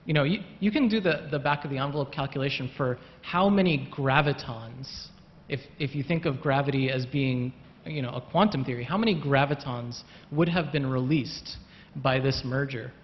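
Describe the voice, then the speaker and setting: A man's voice: mid-range voice, moderate pace, slight background noise. A man on a panel in a lecture hall, explaining patiently with lots of hedging and repeated words. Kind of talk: panel discussion answer. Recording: muffled hall recording with long reverb.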